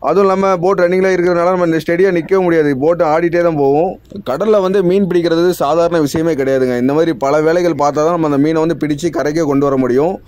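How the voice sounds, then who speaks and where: A young man with a Tamil accent talks viewers through life as a deep-sea fisherman, fast and repetitive, turning to them often. His voice sits a little high, and the recording is dry and close with no room sound. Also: fairly even intonation, a little mellow, no room sound. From India. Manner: explanatory, repetitive, addresses viewers directly. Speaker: fisherman vlogger. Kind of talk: explaining narration over footage.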